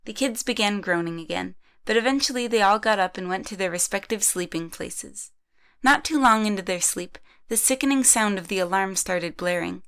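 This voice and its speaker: A woman's voice: mid-range voice, moderate pace, fairly bright tone, clean tone. A woman reading scripted third-person narration for an amateur audio drama.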